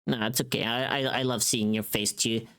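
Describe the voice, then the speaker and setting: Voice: medium pitch, steady tone, normal speed, fairly bright tone, clean tone. A streamer chatting casually on a livestream in a playful, teasing, sweary way.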